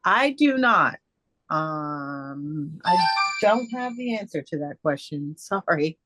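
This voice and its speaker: Low-voiced woman answering questions. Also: animated, over loud music, low-quality recording. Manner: halting, many fillers and restarts. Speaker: guest speaker. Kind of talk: casual Q&A answers.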